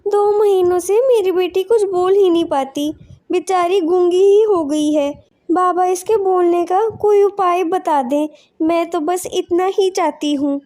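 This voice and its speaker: A woman voices a kindly countrywoman in a cartoon fable. She sounds very high and soft in tone, polite and imploring, with a troubled mother's earnestness. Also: a little slow. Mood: entreating. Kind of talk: animated moral story dialogue.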